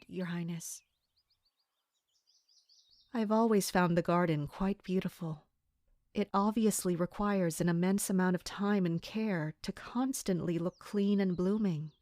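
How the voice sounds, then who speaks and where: Woman acting as a guard captain, dutiful and wary, measured formal delivery, audio drama narration, close dry sound. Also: medium pitch, darkish tone, dry recording, natural voice. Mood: polite, reserved. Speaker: narrator and lead character. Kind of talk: scripted first-person audio drama narration.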